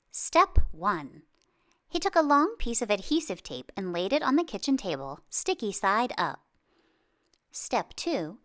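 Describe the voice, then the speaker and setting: A woman reads a children's storybook aloud with lots of expression. Her pitch swings widely and she takes her time, pausing for drama and leaning into exclamations. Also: fairly bright tone. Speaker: picture book read-aloud reader.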